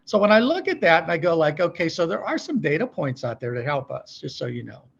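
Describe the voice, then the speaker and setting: Gravelly, husky middle-aged businessman explaining. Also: fairly high pitch, sing-song, brisk, fairly bright tone, highs cut off. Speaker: podcast guest, company executive.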